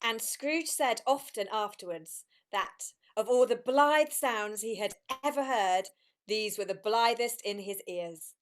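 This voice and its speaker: A woman's voice: fairly high pitch, measured pace, natural voice. A woman narrating a classic story in a radio play reading. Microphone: video call.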